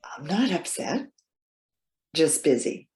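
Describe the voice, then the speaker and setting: A woman playing a lonely, proud elderly lady in a stage reading, halting and questioning with wounded politeness. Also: low voice, very animated, a little mellow, full character voice. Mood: politely cool. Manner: halting, stammering, emphatic questioning. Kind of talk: readers theater stage acting.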